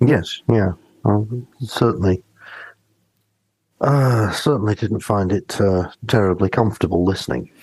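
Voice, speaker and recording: A man co-hosting a podcast in casual discussion, speaking haltingly as he searches for his words. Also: fairly low pitch, a little mellow, husky, no echo, faint music behind. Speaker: podcast co-host.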